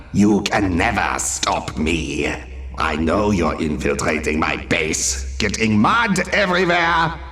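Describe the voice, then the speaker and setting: Pompous, wildly swooping megalomaniac villain. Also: male voice, on the low side, bright, forceful tone, exaggerated voice. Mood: taunting. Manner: theatrical, grandiose, petulant. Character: pompous megalomaniac male villain, self-declared president. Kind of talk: comic video game cutscene acting. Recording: roomy reverberant voice over faint music.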